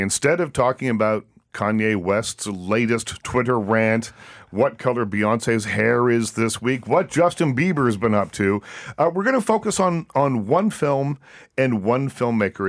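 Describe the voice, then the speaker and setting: A man's voice: medium pitch, dry recording, clean studio sound. A man hosting an interview, chatty and easygoing, often restarting his sentences and laughing easily. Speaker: interview host. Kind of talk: casual interview.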